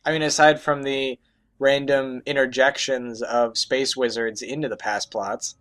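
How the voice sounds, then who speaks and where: A man doing casual, halting, profane gaming commentary alongside a co-host, in a joking way. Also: mid-range voice, steady tone, a bit bright. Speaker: Let's Play commentator.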